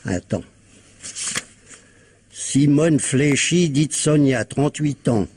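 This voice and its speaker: A man's voice: mid-range voice, slow pace, a little mellow, slightly rough. An actor playing a world-weary, sardonic policeman in a radio crime drama, talking in a slangy, clipped, colloquial way. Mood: sardonic briefing. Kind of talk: 1950s-style French radio crime drama acting.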